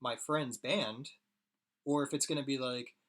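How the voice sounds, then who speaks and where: A hoarse young man rambling casually to his viewers while he draws on a live stream. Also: medium pitch, steady tone, unhurried, a bit bright. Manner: rambling, chatty, talks to chat viewers. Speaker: streaming artist.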